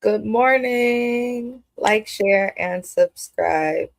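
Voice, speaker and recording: A woman's voice: mid-range voice, very slow, gravelly. A woman co-hosting a live stream, congested, with a nasal and crackly voice, making brief upbeat asides. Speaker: co-host. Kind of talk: casual live chat.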